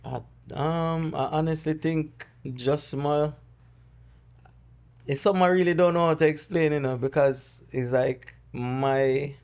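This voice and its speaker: A middle-aged man from Jamaica with a Jamaican accent, a podcast guest who recounts personal loss in a halting, word-searching way. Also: a little high, steady tone, a little mellow. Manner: halting, searching for words, with many restarts. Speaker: guest, dancehall artist. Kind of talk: conversational interview, storytelling.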